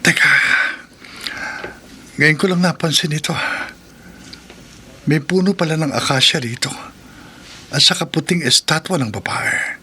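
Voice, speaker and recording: A man's voice: on the high side, unhurried, pauses often, sharp tone, a little hiss or hum. A man with a Filipino accent playing a humble, hurt taxi driver husband in a melodramatic radio drama. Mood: puzzled. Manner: earnest, emotive, melodramatic.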